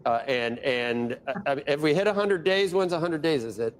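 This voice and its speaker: News anchor guy, kinda fed up and animated, faint hiss in the clip. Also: fairly high pitch, fairly quick. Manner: exasperated, animated questioning. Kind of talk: broadcast interview.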